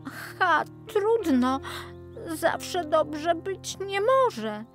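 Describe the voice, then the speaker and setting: A woman's voice: very high voice, big pitch swings, very slow, fairly bright tone, faint music behind, voice pushed up, heavily acted. A woman voicing a naive, cheerful young flax plant in a children's storybook, wide-eyed and emphatic. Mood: resigned, sad.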